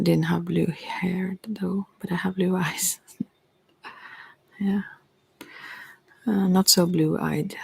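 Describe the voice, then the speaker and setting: A woman who is a non-native English speaker, chatting in soft-spoken ASMR style, hesitant and meandering, often slipping into whispers close to the mic. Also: lowish voice, creaky, slightly rough, poor audio. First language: not English. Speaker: ASMR creator and tarot reader.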